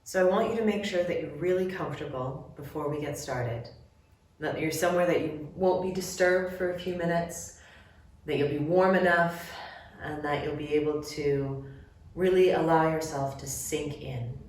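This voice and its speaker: A woman leading a guided relaxation meditation, giving gentle, measured instructions with long pauses between phrases. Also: deep voice. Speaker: yoga nidra guide. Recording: very echoey room, distant mic.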